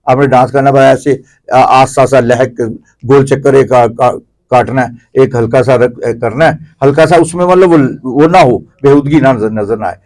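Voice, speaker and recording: A man's voice: medium pitch, darkish tone. A man with a Pakistani accent explaining things conversationally as an interview guest, piling up examples and repetitions.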